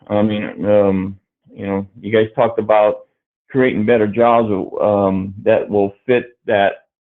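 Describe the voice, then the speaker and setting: A middle-aged dairy farmer, born in Brazil, talking on a livestream panel in a halting way with many fillers and restarts. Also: male voice, on the low side. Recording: tinny phone-like video call audio.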